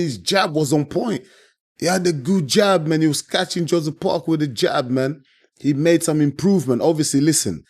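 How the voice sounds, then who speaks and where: A man giving a casual, passionate post-fight reaction as a channel host, his voice hoarse and worn out from shouting. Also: mid-range voice. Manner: passionate, repeats himself, keeps saying "man".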